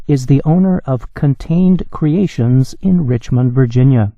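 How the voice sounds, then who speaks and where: A man reading a news article in a measured, evenly paced way for English learners. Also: mid-range voice, warm tone, no room sound. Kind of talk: slow, clear scripted reading.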